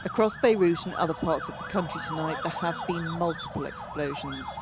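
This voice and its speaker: A woman's voice: on the low side, huge pitch swings, fairly quick. A woman working as a foreign correspondent, delivering a scripted news report. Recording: noisy street and crowd ambience.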